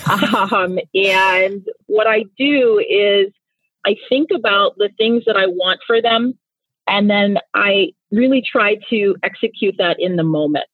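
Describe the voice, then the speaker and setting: A woman's voice: mid-range voice, slow pace, fairly bright tone, no room sound. A woman in her thirties from Nigeria, whose first language is Igbo, answering interview questions in an earnest, reflective way with frequent restarts. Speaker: guest, company founder. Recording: tinny phone-line sound.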